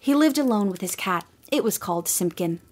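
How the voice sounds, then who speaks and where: A woman's voice: medium pitch, lively intonation. Woman narrating a storybook tale. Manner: measured, gentle storytelling.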